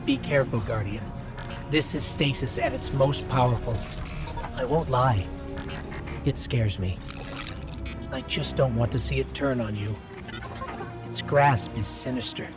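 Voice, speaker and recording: Brief: male actor as protective game mentor, medium pitch, plain earnest speech, loud score behind. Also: measured pace, long pauses, loud music behind the voice, natural voice. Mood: wary, concerned. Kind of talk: scripted video game dialogue.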